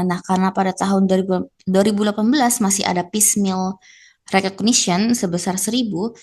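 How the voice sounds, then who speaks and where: Young woman, slightly low pitch, student presentation, explanatory delivery. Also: darkish tone. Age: young adult.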